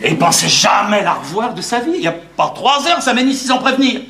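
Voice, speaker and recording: An actor playing an exasperated, scheming husband in a theatrical French farce, sarcastic and emphatic. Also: male voice, sits high, very animated, fast, vocal fry, sharp tone, full character voice. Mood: exasperated, confiding. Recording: echoey stage, noisy background.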